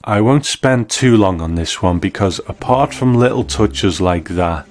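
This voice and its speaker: A man's voice: lowish voice, expressive, unhurried, a little mellow. A man hosting a scripted video essay, reviewing in an enthusiastic, explanatory manner. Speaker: host and reviewer.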